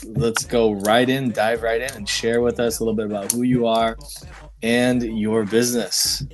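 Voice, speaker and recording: A man's voice: medium pitch, flat delivery, normal speed, crowd noise. A man hosting a live online show, asking guests questions and often repeating words as he forms them. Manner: repeats himself while forming questions. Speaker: host.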